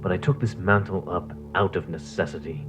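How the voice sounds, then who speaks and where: An actor playing a centuries-old cult leader villain, solemn, grandiose and menacing, in an audio horror monologue. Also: male voice, low-pitched, over music, natural voice. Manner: solemn, deliberate, portentous.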